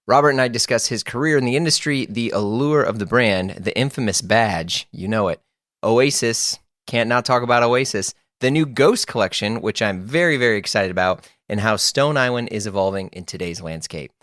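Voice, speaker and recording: A man's voice: on the low side, normal speed, a bit bright, dry recording, clean studio sound. A man hosting a casual podcast interview, enthusiastic, laughing often and restarting his sentences. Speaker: podcast host.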